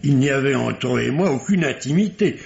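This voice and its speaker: An actor playing a solemn, wordy male doctor in a vintage radio mystery, with pompous, deliberate, literary delivery. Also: medium pitch, animated, gravelly, a little mellow. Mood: solemn, astonished. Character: formal, verbose, solemn male country doctor. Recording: dull, noisy old radio recording.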